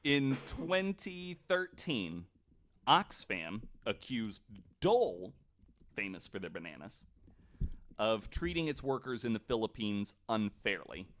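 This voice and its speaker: A man presenting a researched story on a podcast in a casual, halting way, with informal asides. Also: lowish voice, big pitch swings, a bit bright, a little hiss or hum. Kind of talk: casual explanatory podcast talk.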